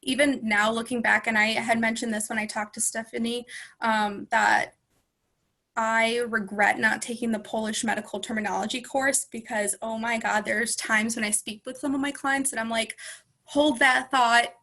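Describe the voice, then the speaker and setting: A woman's voice: on the high side, flat delivery, moderate pace, bright, forceful tone. A young woman in her twenties chatting casually as a guest speaker, with lots of ums and restarts. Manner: chatty, many ums and self-corrections. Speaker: guest speaker, former student. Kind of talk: casual panel conversation.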